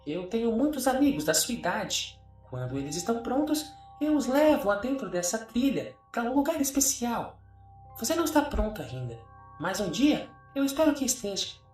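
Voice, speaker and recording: A man's voice: very high voice, big pitch swings, moderate pace, darkish tone, voice pushed up. A man voicing a sinister, childlike imaginary-friend creature who coaxes and persuades, in a horror story. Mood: secretive coaxing. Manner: coaxing, persuasive. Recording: echoey, distant voice over background music.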